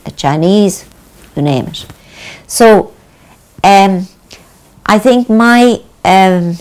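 Older irish lady, slow and pausing, lower voice, chatting at home on camera. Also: darkish tone. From Ireland. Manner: slow, thoughtful, with many pauses and fillers. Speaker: interview guest, religious sister. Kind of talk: reflective conversation. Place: living room indoors.

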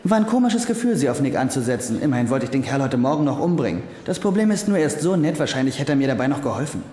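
Actor as lively, insecure young doctor, reverberant. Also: male voice, mid-range voice, fast, background noise, close to an everyday voice. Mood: wry. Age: young adult. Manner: self-dramatizing, wry. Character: young male hospital doctor, insecure, boastful, self-ironic. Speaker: lead character and narrator. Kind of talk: German-dubbed TV comedy, inner monologue and dialogue.

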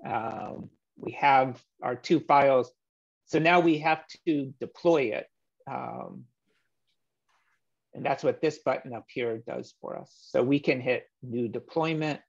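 A man in his thirties walking through code on a shared screen, relaxed and explanatory with frequent "um" fillers, heard over a video call. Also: mid-range voice, slow, creaky. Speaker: meetup presenter. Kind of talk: screen-share coding walkthrough. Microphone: webcam or laptop mic on a video call. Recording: slightly dull video-call audio.

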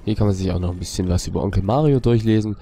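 A man with a cold talks through his gameplay in a loose, muttering way. His voice is low and mellow. Faint game music plays beneath him. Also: racing, gravelly. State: has a cold. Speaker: let's play host. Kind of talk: casual gameplay commentary.

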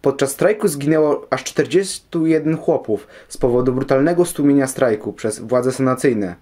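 Young male guest, soft dark timbre, rough-edged, explaining from a script. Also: mid-range voice, moderate pace. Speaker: programme guest. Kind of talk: scripted informative talk.

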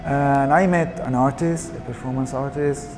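A man in his thirties from Syria, with a Syrian Arabic accent, speaking in a soft-spoken, reflective documentary interview. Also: medium pitch, steady tone, normal speed. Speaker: artist. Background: background music.

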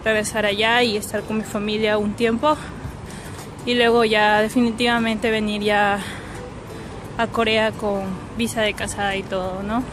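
A woman's voice: mid-range voice, little pitch movement, moderate pace, noisy background. A young woman with an Ecuadorian Spanish accent, chatting casually and giggly as she vlogs outdoors on a handheld camera. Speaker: vlogger. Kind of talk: casual vlog chat. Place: outdoors on a riverside path at night. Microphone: handheld phone or camera. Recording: music behind, handheld outdoor recording.

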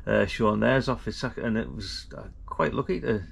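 Middle-aged man, gravelly. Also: fairly low pitch, moderate pace, slight background noise. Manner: informal, chatty, blokey. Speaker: vlog host. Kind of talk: casual vlog chat.

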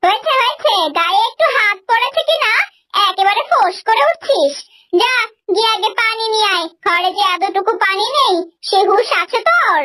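A woman's voice: extremely high pitch, lively intonation, moderate pace, sharp tone, exaggerated voice. A woman voicing a harsh, sarcastic stepmother in a fairy-tale cartoon, nagging and threatening. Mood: dismissive.

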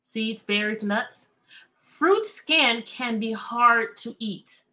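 A middle-aged American woman reading aloud to children as a teacher, animated and chatty, often asking questions. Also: a little high, takes it slowly, low-quality recording. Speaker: teacher and read-aloud host. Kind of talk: interactive children's read-aloud lesson. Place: indoor room with bookshelves.

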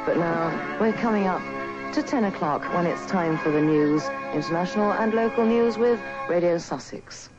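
A woman's voice: lowish voice, normal speed. A woman presenting live local radio in a chatty style. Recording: muffled radio clip with music.

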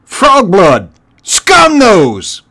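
A man's voice: medium pitch, animated, measured pace, natural voice. A man voicing a brutal, contemptuous one-eyed rat warlord from a storybook, bellowing and snarling his commands. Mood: barking orders. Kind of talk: storybook character voice.

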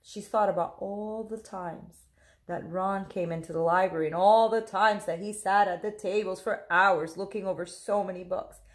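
A woman in her thirties reading a picture book aloud, expressive, deliberate and dramatic, like a teacher. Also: mid-range voice. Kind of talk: expressive storybook read-aloud. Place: small room at home. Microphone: camera or phone at close range.

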